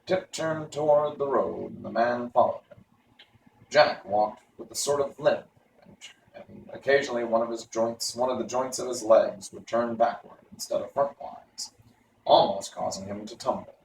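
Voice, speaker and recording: A man's voice: medium pitch, normal speed, vocal fry. Man reading aloud, echoey room. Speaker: story reader. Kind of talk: reading a children's novel aloud, narration. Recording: roomy, noisy, distant microphone.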